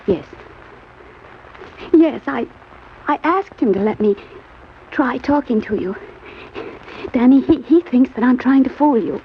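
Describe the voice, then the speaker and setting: A fairly high-voiced scheming femme fatale in a vintage radio thriller. She is earnest and melodramatic, always imploring, on a muffled recording with faint noise. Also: animated, measured pace, long pauses. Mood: hushed, coaxing. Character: pleading, scheming female accomplice professing love. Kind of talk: old-time radio crime drama acting.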